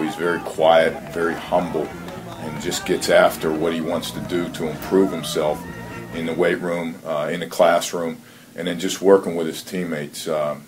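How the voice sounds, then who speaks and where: A man working as a football coach, giving earnest, measured interview answers on a conference call and often repeating his phrases. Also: low voice. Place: conference call, faint noise behind. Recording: noisy conference call audio.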